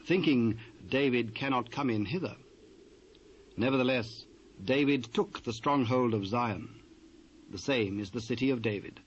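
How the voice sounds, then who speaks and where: Narrator for audio Bible: British man, mid-high register, measured and formal, dull cassette with slight hiss. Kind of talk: scripted narration, dramatised Bible reading. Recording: dull, noisy old cassette.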